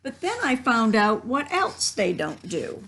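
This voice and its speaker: A woman reads a picture book aloud in a fairly high voice. Her pace is very slow and expressive, and she speaks to young listeners, chatting about the pictures as a storytime reader would. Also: vocal fry, husky, rough recording.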